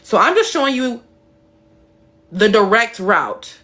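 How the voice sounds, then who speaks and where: A woman's voice: very animated, slow pace, fairly bright tone. Blunt, playful woman live-streaming. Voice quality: playful, shifts her voice between high and deep. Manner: blunt, emphatic and swearing, reading out chat comments.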